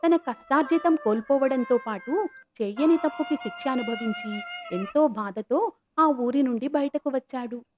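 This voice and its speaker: A woman's voice: high-pitched, moderate pace, dull audio. A woman reading scripted moral-story narration in a storytelling role, over music. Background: background music.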